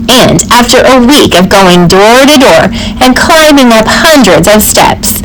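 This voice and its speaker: Lady reading a kids book out loud, kinda high voice, really slow and expressive, bit of background noise. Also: rough recording. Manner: careful, expressive, deliberate phrasing for children. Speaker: storybook reader. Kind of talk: children's picture book read-aloud.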